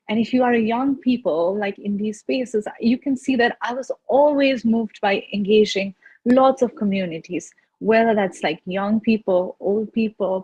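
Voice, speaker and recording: A woman's voice: mid-range voice, darkish tone, husky, low-quality recording. A woman in her twenties with a Nepali accent, giving an earnest, formally phrased personal presentation over a video call. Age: 20s. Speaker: youth representative and panel speaker. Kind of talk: personal presentation to an online audience.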